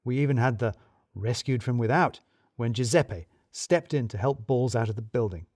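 A man's voice: medium pitch, moderate pace, darkish tone, no room sound, clean studio sound. A man narrating a scripted first-person mystery story in a wry, arch, wordy storyteller's manner.

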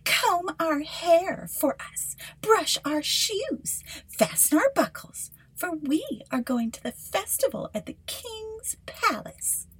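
Woman putting on a high, drawling, spoiled young stepsister. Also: very animated, slow pace, heavily acted, poor audio. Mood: bossy. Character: vain mocking young stepsisters, spoiled and cruel.

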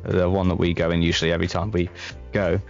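Low-voiced man rambling in casual chat. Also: flat delivery, fast talker, vocal fry, lo-fi sound, soft background music. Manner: rambling, hesitant with many fillers. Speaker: podcast co-host.

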